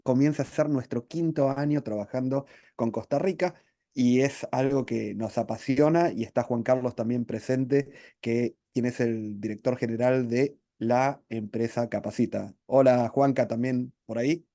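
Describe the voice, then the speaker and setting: Argentine guy on a work webinar, mellow voice, friendly and measured, talks right to the audience. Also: mid-range voice, fairly even intonation, dry recording, clean studio sound. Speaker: trainer co-presenting a webinar. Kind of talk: live webinar presentation.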